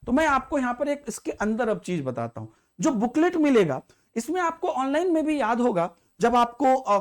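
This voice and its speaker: A man, teaching as an exam prep tutor who walks through an exam paper step by step and repeats key points to check understanding. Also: very high-pitched.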